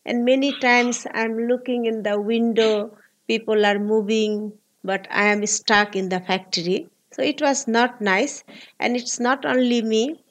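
Slow-speaking Bangladeshi woman in her forties. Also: a little high, steady tone. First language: Bengali. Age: 40s. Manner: earnest, halting non-native English. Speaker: guest, labor activist. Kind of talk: interview.

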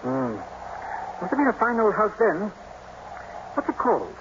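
A jittery genteel traveller. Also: male voice, high-pitched, wildly swooping pitch, a little slow, exaggerated voice. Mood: polite. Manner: stammering, polite and jittery. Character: nervous genteel male traveller lost on the moors. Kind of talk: 1940s radio acting. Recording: muffled old radio recording with hiss.

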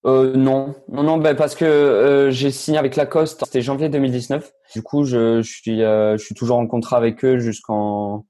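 A man's voice: medium pitch, steady tone, normal speed, hardly any pauses, mellow. A teenage man, a young tennis player answering interview questions casually, hesitant with many fillers and restarts. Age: teens.

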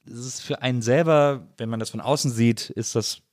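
A man's voice: medium pitch, normal speed, a little mellow, no echo, clean studio sound. A man hosting a casual podcast interview, talking in a reflective, somewhat tentative way. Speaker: podcast host.

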